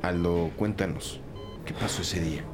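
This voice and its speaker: A man's voice: sits low, fairly even intonation, moderate pace, lightly acted. A man playing a stern, decisive police detective in an audio drama. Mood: calm, official. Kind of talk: Spanish-language audio drama dialogue. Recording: loud music under the voice.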